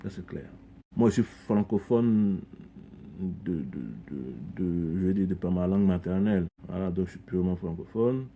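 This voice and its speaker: Low, mellow-voiced man reflecting earnestly. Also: moderate pace, gravelly, slight background noise. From Ivory Coast. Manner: earnest, reflective, frequent repetitions and restarts. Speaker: podcast guest, community leader. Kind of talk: conversational interview.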